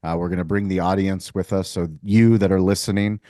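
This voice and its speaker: A man's voice: sits low, little pitch movement, fairly quick, mellow, no room sound, studio quality. A man hosting an interview show in a conversational style, introducing the format and putting questions to his guest. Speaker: podcast host, restaurateur.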